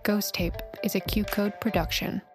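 A woman's voice: mid-range voice, fairly even intonation, normal speed. Woman announcer reading credits over music. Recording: music bed behind.

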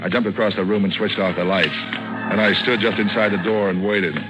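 A low, sardonic American private eye , acted by a man in old-time radio noir. He tosses off cynical wisecracks in a deadpan way. Music plays behind him on the vintage broadcast recording. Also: moderate pace, dull audio, natural voice. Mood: dry, brooding. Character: wisecracking, cynical hard-boiled male hired detective narrating his case. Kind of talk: 1940s radio noir acting, first-person narration.

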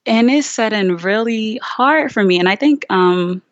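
A young woman from Virginia chatting candidly as a podcast guest, peppering her talk with "like" and quick restarts. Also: a little high, expressive, no echo. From Richmond, Virginia, USA. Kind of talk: casual interview conversation. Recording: slightly dull, lo-fi sound.

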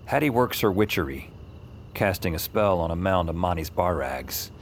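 Deep-voiced, wry noir hero. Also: male voice, lively intonation, normal speed, background noise. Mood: brooding. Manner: wry, dry. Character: weary, self-mocking male noir hero narrating his fight. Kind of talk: scripted first-person noir narration. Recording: voice over music.

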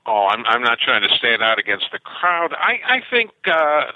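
A man's voice: medium pitch, very animated, normal speed, no echo. An older man, a science fiction author being interviewed, telling stories animatedly with frequent restarts. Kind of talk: interview over the phone. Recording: tinny phone line, dry.

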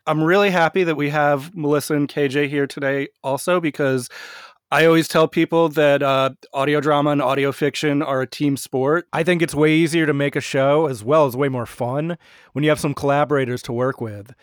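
A man's voice: on the high side, fairly even intonation, fairly quick, clear, resonant tone, no room sound, clean studio sound. A man hosting a podcast, introducing guests and asking questions in a friendly, structured interview style.

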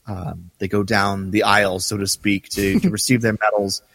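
A man co-hosting a podcast in casual discussion, explaining things in a halting, circling way. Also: fairly low pitch, level tone, creaky, a bit bright, dry recording, long reverb tail. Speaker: podcast co-host. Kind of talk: casual discussion of a radio drama.

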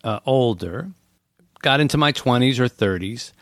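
Middle-aged man, earnest and reflective, mid-pitched voice, dry close sound. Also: lively intonation, unhurried, dry recording. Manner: earnest, thoughtful, frequent "you know" fillers. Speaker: podcast guest. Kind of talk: reflective casual conversation.

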